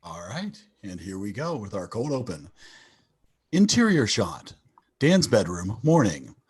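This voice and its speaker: A man's voice: on the low side, very animated, a little slow, husky. A man narrating sitcom stage directions as scripted reading on a video call.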